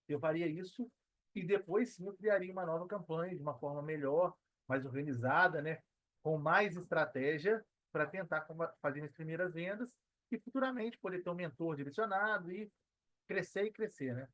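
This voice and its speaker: Slightly high, dry-sounding Brazilian man, reflective. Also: dry recording. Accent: Brazilian Portuguese. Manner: halting, reflective, frequent tag questions. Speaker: podcast guest, affiliate marketer. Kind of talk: casual interview answer.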